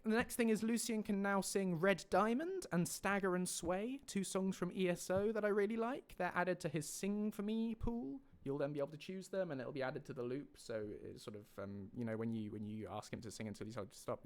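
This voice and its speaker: A man hosting a livestream, casually explaining updates to viewers in an enthusiastic, rambling way. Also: a little high. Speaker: mod creator and livestream host.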